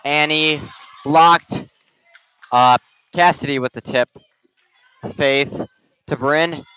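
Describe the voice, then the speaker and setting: A young man doing amateur play-by-play for a volleyball game over a headset, his voice slightly raspy, casual and halting with restarts. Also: on the high side, fairly even intonation, sharp tone. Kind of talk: amateur sports commentary. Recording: dull, dry gym-stream audio.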